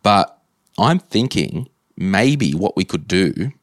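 Aussie bloke podcast host, deep voice, kinda rambly, thinking on the fly. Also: male voice, sing-song, takes it slowly, no room sound, studio quality. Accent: Australian. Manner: thinks aloud, rambling and tentative. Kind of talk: casual interview chat.

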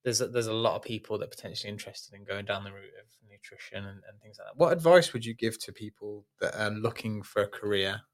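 Low-voiced man hosting a podcast. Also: quick pace, hardly any pauses. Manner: encouraging interviewer, many fillers like "you know". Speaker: podcast host. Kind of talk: casual interview chat.

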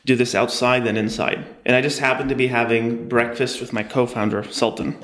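Man, interview guest, mid-pitched voice, measured, explanatory, echoey room. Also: flat delivery, a bit bright. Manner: measured, explanatory, light laughs. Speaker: startup co-founder, guest. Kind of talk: interview answers.

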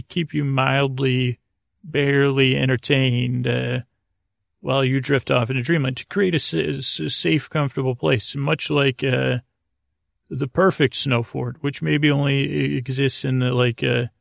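A man hosts a bedtime podcast in a heavily fried, gravelly voice at an ordinary male pitch. He wanders through silly tangents, hesitating and restarting, often leaving sentences unfinished. The sound is dry, with no room echo at all. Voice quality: gravelly, creaky. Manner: meandering, hesitant, silly, trails off mid-sentence. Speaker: podcast host. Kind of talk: rambling bedtime monologue to help listeners fall asleep.

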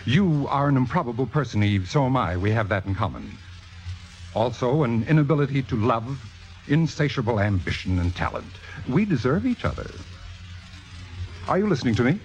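A man's voice: medium pitch, big pitch swings, moderate pace, lightly acted. A man playing a cynical, commanding theater critic in a vintage radio drama, with precise, sardonic, possessive delivery. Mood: controlling. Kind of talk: 1950s radio drama acting. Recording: dull vintage radio recording, music behind.

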